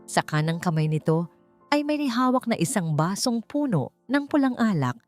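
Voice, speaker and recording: A woman's voice: medium pitch, very animated, moderate pace, darkish tone, dry recording. A woman narrator reading a scripted story in a dramatic storytelling style. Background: background music.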